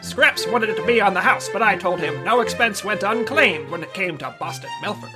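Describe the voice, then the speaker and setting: An actor playing a hard-boiled, wisecracking insurance investigator in an old-time radio noir, brisk and wry. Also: male voice, high voice, animated, over music. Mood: narrating.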